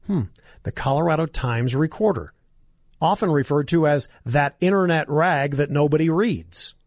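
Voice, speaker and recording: Hoarse, lively-voiced male radio talk host. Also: a little high, no echo, studio quality. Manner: combative, sarcastic ranting. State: hoarse, coughing.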